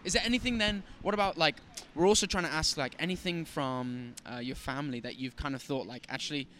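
A man's voice: a little high, fast, fairly bright tone, a little hiss or hum. A young man hosting a casual street interview, chatty and encouraging, with plenty of fillers. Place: outdoors on a city street.

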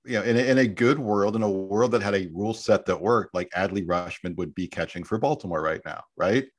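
A man's voice: lowish voice, a little fast. A man as a podcast guest, talking earnestly and restarting his sentences. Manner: earnest, repeats words when starting a point. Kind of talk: casual podcast chat.

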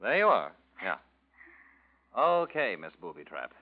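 A man's voice: fairly low pitch, huge pitch swings, measured pace, heavily acted. An actor playing a cynical, scornful young man in an old radio drama, sarcastic and mocking. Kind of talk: 1940s radio acting. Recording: dull old radio recording.

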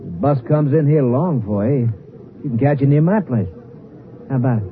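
An American actor playing an eager small-time crook in a 1950s radio drama, with slangy, uneducated, insistent speech. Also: male voice, mid-range voice, moderate pace, soft background music, lightly acted. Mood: pleading, insistent. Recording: tinny, noisy 1950s radio recording.

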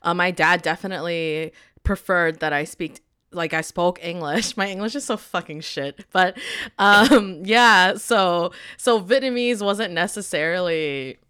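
A woman's voice: lowish voice, measured pace, fairly bright tone, clean tone. A young woman hosting a podcast, chatting casually and laughing often, with the odd swear word. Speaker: podcast host. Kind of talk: casual interview chat.

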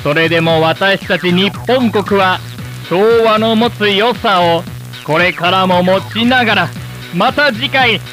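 Casting: man for solemn broadcast announcer in a radio drama, high clear-edged forceful tone, light music behind. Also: exaggerated voice. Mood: formal, earnest. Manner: formal, solemn, measured wording. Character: earnest, formal television presenter nostalgic for Showa.

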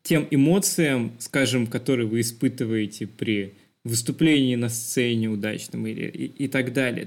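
A man's voice: mid-range voice, darkish tone. Reflective man discussing film on a podcast. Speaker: podcast host.

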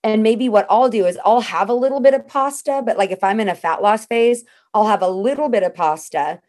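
A woman's voice: mid-range voice, fairly quick, a little mellow. A woman guest in a casual interview, talking in a chatty, practical way and walking through things step by step.

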